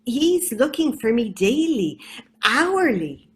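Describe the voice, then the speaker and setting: A woman voicing a small, shy female beetle who speaks softly and hesitantly. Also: on the high side, sing-song, slow pace. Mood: timid. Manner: soft, timid, hesitant.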